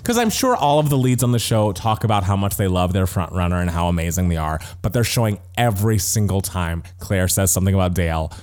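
A young American man co-hosting a casual pop culture chat, retelling things in a gossipy, animated way with acted-out quotes. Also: low voice, fast, hardly any pauses, smooth. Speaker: podcast co-host.

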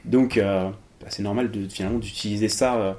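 Hesitant low-voiced English-born man. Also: fairly even intonation, brisk, darkish tone, a little hiss or hum. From England. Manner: hesitant, many fillers and restarts, laughs easily. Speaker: interview guest, improv actor. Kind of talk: casual interview talk.

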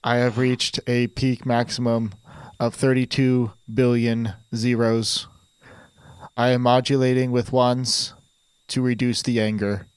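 A man doing a flat, deadpan robot. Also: medium pitch, unhurried, smooth, no room sound, close to an everyday voice, poor audio. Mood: subdued anger. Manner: flat monotone, stiff formal phrasing. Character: deadpan male robot, resentful and pompous. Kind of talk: improvised comedy sketch.

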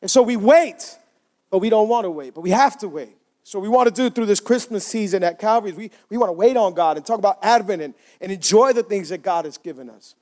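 A male pastor with a strikingly high voice delivers a sermon at a church service. He talks in an easy, conversational way and keeps prompting the congregation to answer him. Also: a little fast, a little mellow. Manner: conversational, asks the congregation for responses.

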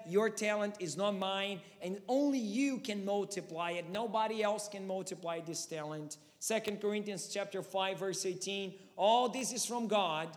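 Brazilian-accented pastor preaching in a reverberant hall. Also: male voice, high voice. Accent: Brazilian Portuguese. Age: 30s. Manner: impassioned preaching with rising rhetorical questions. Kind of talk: sermon.

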